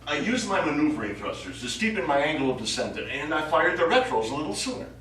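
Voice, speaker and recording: An actor playing a nonchalant, wry astronaut in a stage play. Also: male voice, a little high, very animated, quick pace, fairly bright tone. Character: nonchalant, wry male astronaut tending the ship. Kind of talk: stage acting. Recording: echoey distant stage recording, faint noise.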